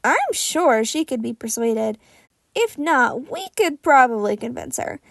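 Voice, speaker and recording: Playful teenage male hero trainee played by a woman, high voice, friendly, dry storybook narration. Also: very animated, measured pace, creaky, no room sound, exaggerated voice. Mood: playfully persuasive. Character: cheerful, cheeky teenage boy hero student.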